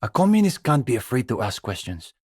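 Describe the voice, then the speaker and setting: A man's voice: medium pitch, sing-song, brisk, darkish tone, breathy edge, dry recording, clean studio sound. An actor playing an earnest, condescending young male student intellectual in a video game, speaking in an articulate, formal and wry manner. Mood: patient, pedantic. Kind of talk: scripted video game character dialogue.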